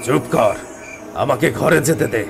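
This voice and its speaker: A gravelly evil spirit posing as a village husband, acted by a man, snarls curt threats in a horror cartoon. The voice is heavy with creak and thirsty for blood. Music plays clearly underneath. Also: medium pitch, measured pace. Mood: gruff, irritated. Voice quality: gravelly, frightening voice. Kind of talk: animated horror story acting.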